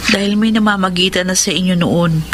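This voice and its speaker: A woman's voice: lowish voice, brisk, background noise, natural voice. A woman with a Filipino accent playing a devoted, steadfast young sweetheart, reassuring and solemn in a melodramatic radio drama. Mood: consoling.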